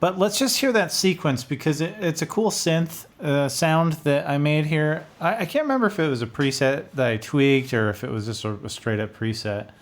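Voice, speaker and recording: A man's voice: on the high side, moderate pace, few pauses. A middle-aged man giving casual, informal commentary as a video host, rambling and thinking aloud into a close studio mic. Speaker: music producer and video host. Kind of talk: casual screen-share commentary. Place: small home studio room, indoors. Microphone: studio condenser on boom arm, close.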